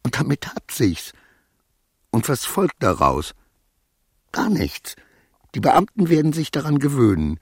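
An actor playing a wronged, anxious petty clerk in a radio play, protesting formally and fretting to himself. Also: male voice, mid-range voice, wildly swooping pitch, measured pace, long pauses, slightly rough, dry recording, clean studio sound, full character voice. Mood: agitated self-persuasion. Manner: stiffly formal protests, agitated self-justifying muttering. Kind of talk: German radio play acting.

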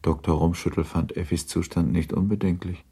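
A very low, husky man's voice with a gravelly creak. detached, sober narration for a literary radio play, reading the prose evenly. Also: steady tone, fairly quick, mellow, full character voice.

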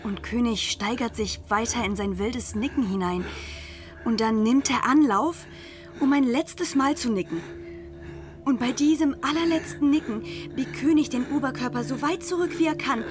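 A woman's voice: high-pitched, moderate pace. A woman narrating a radio drama in a scripted, dramatic storytelling style. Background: music.

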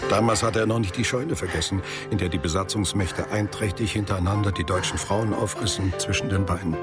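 A man's voice: sits low, fairly even intonation, brisk. A man narrating a literary radio drama from a script. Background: music.

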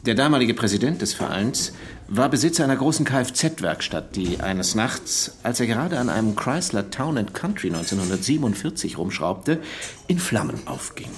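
An actor playing a shrewd, methodical detective in a radio drama, laying things out deliberately and pointedly. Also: male voice, medium pitch, animated, close to an everyday voice. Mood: measured, pointed.

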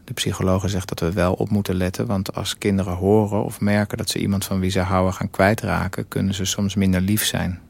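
A low, gravelly, blunt husband. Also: male voice, little pitch movement, fairly quick, hardly any pauses, darkish tone. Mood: weary, bleak. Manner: blunt, clipped, crude sentences. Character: blunt, bitter husband of a dying wife, narrating. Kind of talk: radio drama, confessional narration. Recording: music behind the voice.

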